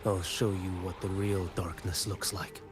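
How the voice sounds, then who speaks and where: A grim blind warrior. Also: male voice, sits low, level tone, unhurried, over music. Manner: grave, clipped, sometimes whispered. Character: blind stern male warrior, grim and cold.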